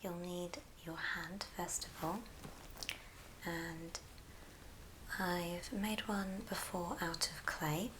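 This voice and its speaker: Slow whispering ASMR woman. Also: on the low side, steady tone. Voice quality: soft, breathy whisper. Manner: unhurried, gentle step-by-step instruction. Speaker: ASMR host demonstrating a craft. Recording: clear background hiss and clicks.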